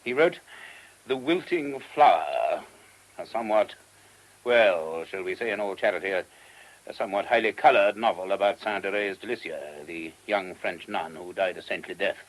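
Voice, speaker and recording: A man's voice: low-pitched, normal speed, poor audio. An actor playing a genteel, discreet male abbot in a radio play, tactful and donnish, picking words with hesitation.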